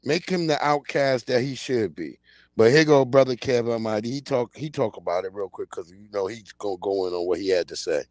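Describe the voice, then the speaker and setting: A man in his forties talks straight into a streaming mic with an average pitch, and the sound is close and dry. His delivery is animated, blunt and full of slang, and he keeps pushing his point. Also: fairly quick, no room sound. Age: 40s. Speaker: livestream host. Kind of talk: casual commentary livestream.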